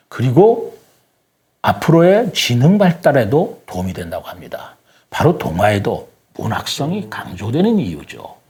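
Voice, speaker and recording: An older man speaking as an interview guest, giving his opinions earnestly in a deliberate, formal and polite way. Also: mid-range voice, dark tone.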